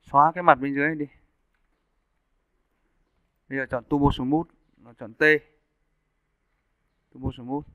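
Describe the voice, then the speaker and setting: A man giving a casual, step-by-step software tutorial as an animation instructor. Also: medium pitch, fairly even intonation, measured pace, long pauses, no room sound. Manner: informal step-by-step explaining. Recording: muffled narrowband phone-like audio.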